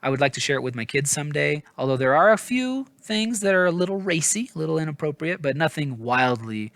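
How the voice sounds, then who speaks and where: A man's voice: a little high, expressive, quick pace, creaky, a bit bright, no echo. A man giving a casual, rambling book review in an informal, hesitant, self-deprecating way, often stumbling over his words. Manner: informal, hedging, apologetic.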